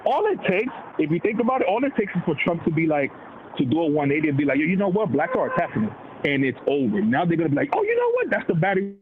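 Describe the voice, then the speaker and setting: A man of Dominican background riffs on politics in loose, slangy talk and keeps slipping into quoted voices. His voice sits a little high for a man. It comes through a narrow, tinny phone-like line with steady noise behind it. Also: racing. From Dominican heritage. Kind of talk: casual political chat.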